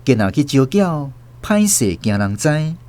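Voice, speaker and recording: Male narrator, Taiwanese accent, radio drama storytelling, animated intonation, mid-pitched, expressive. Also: a little slow. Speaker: host and narrator.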